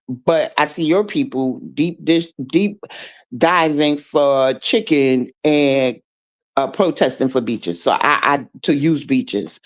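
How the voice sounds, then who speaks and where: A host talks back at video clips in loose, blunt commentary, with sarcastic jabs dropped into casual speech. The voice sits at a middling pitch.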